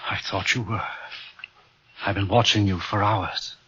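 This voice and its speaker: An actor playing an unhinged, grieving believer in spirits, intense and insistent, in old-time radio suspense acting. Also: male voice, fairly low pitch, steady tone, a little slow, bright, forceful tone, husky, muffled recording, full character voice. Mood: tender, eerie. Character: obsessed, grieving, unhinged wealthy husband-to-be, devoted believer in spirits. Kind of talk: 1940s-50s radio suspense drama acting. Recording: muddy old radio recording.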